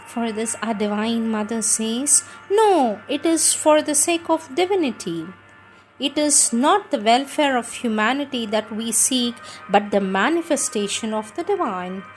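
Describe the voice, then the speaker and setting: A woman reading scripted spiritual teachings in a measured, deliberate, emphatic manner. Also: a little high, expressive, soft background music, rough recording.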